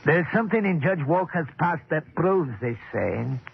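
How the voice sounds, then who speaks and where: An actor playing a nervous, cryptic old man in a 1940s radio drama, with a creaky, husky, halting voice. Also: a little high, expressive, narrow, tinny audio, exaggerated voice. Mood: pleading, cryptic. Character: nervous, cryptic old man, working-class club employee.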